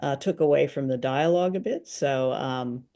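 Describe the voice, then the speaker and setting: A woman in her sixties co-hosting a casual review chat on a video call, with halting phrasing. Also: extremely low pitch, dark tone. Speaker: show co-host. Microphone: video call, wearing headphones.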